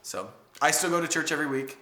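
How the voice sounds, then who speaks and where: A man co-hosting a casual live chat, talkative and quick to laugh. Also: fairly high pitch, lively intonation, sharp tone, reverberant. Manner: chatty, laughs often, coos at his cat.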